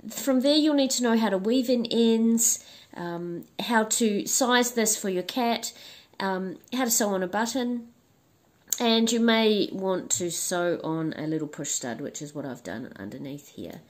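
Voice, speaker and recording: A woman hosting a crochet tutorial, her voice husky and hoarse from the flu, chatting casually with frequent ums and restarts. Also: medium pitch, expressive, unhurried. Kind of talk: casual instructional talk.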